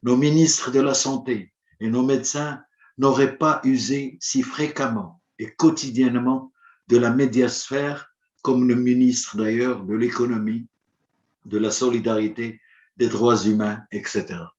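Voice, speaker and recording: Male conference speaker, first language Arabic; formal, measured, rhetorical; mid-pitched voice in a roomy space, dull lo-fi sound. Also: steady tone, a little mellow. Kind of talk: formal prepared address.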